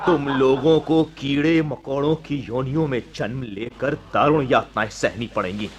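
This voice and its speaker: A man's voice: medium pitch, moderate pace, close to an everyday voice. A man playing a righteous monastic teacher, solemn and preaching in a radio drama. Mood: stern, admonishing. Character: righteous male monastic teacher, stern and moralising. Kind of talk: Hindi radio drama acting.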